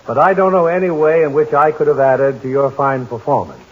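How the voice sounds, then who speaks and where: A middle-aged man hosting a 1940s radio drama broadcast, courteous and measured in a formal manner. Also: mid-range voice, phone-quality sound. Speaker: radio drama host and producer.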